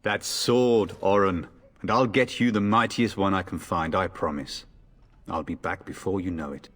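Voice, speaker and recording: A man's voice: low voice, fairly even intonation, normal speed, a bit bright, long reverb tail. A man playing a confident, affectionate warrior father in a video game. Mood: fond, reassuring. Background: music.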